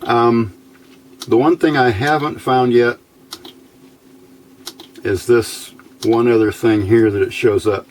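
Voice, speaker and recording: A man's voice: mid-range voice, darkish tone. A man giving a casual, unscripted hands-on demonstration, explaining step by step in a plain, folksy way. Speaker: hobbyist presenter demonstrating electronics test equipment.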